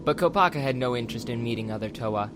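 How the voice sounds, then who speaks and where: A man's voice: medium pitch, quick pace, background noise. A man reading scripted audiobook narration as the narrator of a dramatized story. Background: background music.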